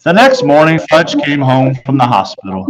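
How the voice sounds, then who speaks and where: A man's voice: a little high, big pitch swings, normal speed, a crowd in the background, poor audio. A man reading a first-person children's story aloud as its narrator. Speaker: storybook reader.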